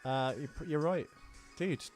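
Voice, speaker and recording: Higher-pitched sweary male podcast host. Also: a little slow, darkish tone, soft background music. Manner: sweary, self-deprecating. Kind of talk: casual film podcast banter.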